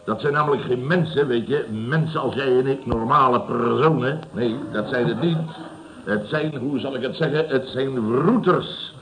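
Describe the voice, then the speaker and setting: An actor playing a blustery, indignant contractor boss who rambles and repeats himself in exasperation, in a radio comedy from around 1970. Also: male voice, medium pitch, normal speed, crowd noise, lightly acted, rough recording. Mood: agitated.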